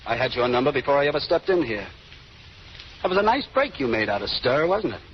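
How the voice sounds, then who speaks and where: Actor playing a ruthless, cocky radio gangster. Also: male voice, medium pitch, very animated, brisk, natural voice. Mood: menacing. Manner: clipped, slangy tough talk. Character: ruthless cocky gangster boss, possessive of his girl. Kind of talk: 1930s-40s radio drama acting. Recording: noisy dull old radio recording.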